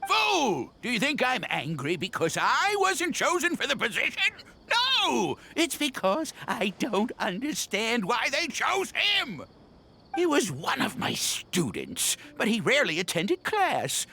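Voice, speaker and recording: A man voicing a pompous, indignant old professor with a creaky, theatrical delivery in a video game. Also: very high voice, wildly swooping pitch, measured pace, bright, forceful tone, heavily acted. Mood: furious, indignant. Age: older. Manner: blustering, theatrical.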